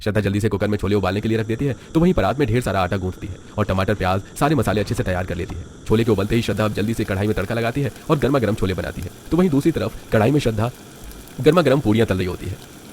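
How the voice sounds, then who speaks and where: A man's voice: on the low side, racing, darkish tone, a little hiss or hum. A man with an Indian accent narrating a scripted story as a storyteller.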